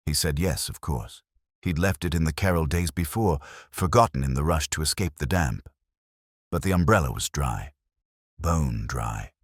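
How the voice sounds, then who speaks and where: A man narrating a scripted detective story in the first person, with a husky voice and a measured, solemn, literary delivery. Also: extremely low pitch, no echo, clean studio sound.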